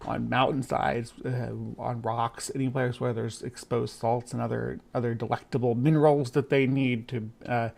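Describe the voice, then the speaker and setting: A man's voice: medium pitch, flat delivery, moderate pace, gravelly, hardly any pauses, darkish tone. A man hosting a casual live stream, talking in a rambling way with many fillers and restarts. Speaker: stream host.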